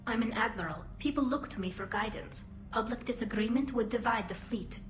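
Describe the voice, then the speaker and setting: A woman's voice: on the high side, brisk. An actress voicing a young female alien admiral, earnest and self-doubting, through a helmet filter in a video game. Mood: earnest, guarded. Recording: roomy, faint ambient noise.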